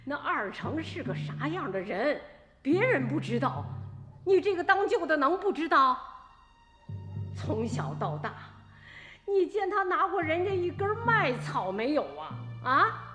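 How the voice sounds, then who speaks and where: A woman's voice: sits high, sing-song, unhurried, fairly bright tone, exaggerated voice. A woman playing a protective middle-aged village mother in a radio drama, indignant and challenging. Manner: challenging rhetorical questions. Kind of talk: Chinese radio drama acting. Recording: reverberant, echoey room with music.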